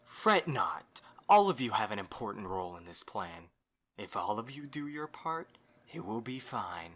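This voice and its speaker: Man playing a suave young schemer, animated and theatrical. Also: lowish voice, unhurried, exaggerated voice. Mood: confident, scheming. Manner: theatrically polite. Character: courteous, scheming young male host with a plan. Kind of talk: amateur audio drama acting.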